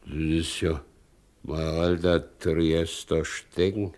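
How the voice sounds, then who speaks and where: Slow, deep-voiced brooding old carter. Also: male voice, level tone, darkish tone. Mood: brooding, distracted. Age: middle-aged. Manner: brooding, halting, plain-spoken. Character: aging, naive, broken, guilt-ridden carter. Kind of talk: 1960s radio play acting.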